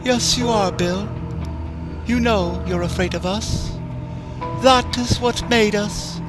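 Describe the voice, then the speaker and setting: A man voicing a sadistic, playful female clown who eats her victims, speaking in a soft, teasing, sing-song way over background music. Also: high-pitched, takes it slowly, pitched above the performer's own voice. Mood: sadistic glee. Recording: music bed under voice.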